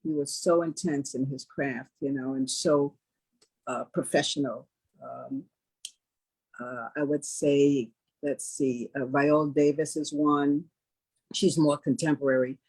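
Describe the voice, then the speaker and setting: An older woman speaking as an interviewed actress in a casual panel conversation, laying out her points in a measured, affirming way. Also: deep voice, steady tone, a little mellow, husky, rough recording. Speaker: stage actress interviewed about a play.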